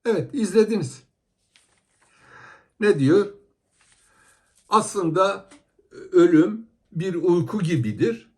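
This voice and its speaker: A man's voice: high voice, animated, takes it slowly, long pauses, a little mellow. A man giving heated commentary that criticizes religious videos, scolding and asking rhetorical questions.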